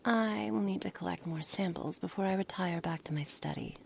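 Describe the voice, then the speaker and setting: A low, menacing witch acted by a woman speaks in a deliberate, formal ASMR monologue. She is cold, curious and condescending toward her captive, often sinking to a breathy near-whisper. Faint ambient noise sits behind the voice. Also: a little mellow. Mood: coolly threatening. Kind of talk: ASMR roleplay, scripted dramatic monologue. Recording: noisy background ambience.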